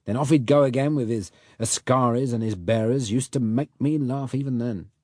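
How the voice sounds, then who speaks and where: Man voicing a gruff Cockney boatman. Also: mid-range voice, moderate pace, dark tone, no echo, studio quality, pitched above the performer's own voice, lightly acted. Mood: persuasive. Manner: blunt working-class slang, gruff and earnest. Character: rough Cockney boat mechanic, middle-aged man.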